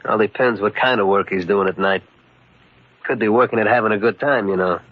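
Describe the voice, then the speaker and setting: A man's voice: fairly low pitch, brisk, lightly acted. Man acting blunt American gangster henchman, muffled radio. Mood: knowing, threatening. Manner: slangy, blunt tough-guy talk. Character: tough gangster henchman, calmly menacing, bluntly matter-of-fact. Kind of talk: old-time radio crime drama acting. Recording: muffled, dull old radio recording.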